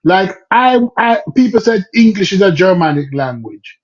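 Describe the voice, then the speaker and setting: A man's voice: sits high, very animated, normal speed. A man arguing a point in a podcast discussion, firing off rhetorical questions in a lecturing way. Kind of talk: argumentative discussion. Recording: dull lo-fi audio.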